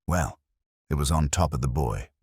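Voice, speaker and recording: An anxious village vicar, played by a male narrator, very low in pitch. He is fretful and eager to placate, in the style of a storybook mystery, recorded dry and close. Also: animated, normal speed, breathy edge, no echo, heavily acted. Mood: flustered. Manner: hesitant, fretful, clerical.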